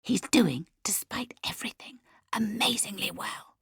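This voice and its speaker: A woman's voice: mid-range voice, normal speed, no room sound, clean studio sound, voice pushed up, lightly acted. A woman voicing a hot-tempered, defensive, loyal adult daughter in an audiobook, heated and insistent. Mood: fierce.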